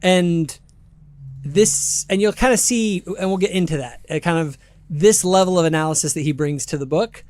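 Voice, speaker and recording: Higher-pitched man hosting a casual talk show. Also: moderate pace, darkish tone, a little hiss or hum. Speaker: channel host. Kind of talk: casual panel chat with a narrated intro.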